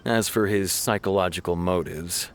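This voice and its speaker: A man playing a stern, dutiful police officer in a video game, speaking in a formal, measured, explanatory way. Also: sits low, steady tone, natural voice. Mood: serious, frustrated. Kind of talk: video game character acting.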